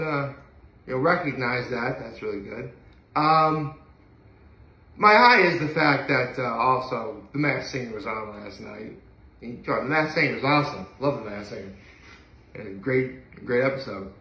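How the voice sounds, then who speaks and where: Bright-voiced young man, rambling in a roomy space. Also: mid-range voice. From upstate New York. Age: young adult. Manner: rambling, hesitant, trailing off. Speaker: daily vlogger. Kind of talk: casual solo chat to camera. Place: indoor living room at home. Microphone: phone camera a few feet away. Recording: roomy, echoey home recording.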